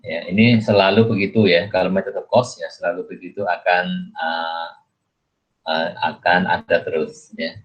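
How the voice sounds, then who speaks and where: A man lecturing through a worksheet in a halting way, thinking aloud with questions and frequent check-in tags. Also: sits low, little pitch movement. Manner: repeatedly adds "ya" as a check-in tag. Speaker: accounting lecturer. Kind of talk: explanatory screen lecture, working through a worksheet. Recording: dull, muffled lo-fi recording.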